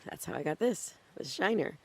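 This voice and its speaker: A woman's voice: sits low, lively intonation, moderate pace, gravelly, full character voice. An actress playing a troubled, secretive young woman in a noir audio thriller, coy and pleading with a calculating edge. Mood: bitter. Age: young adult. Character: troubled, secretive young femme fatale with a dark past.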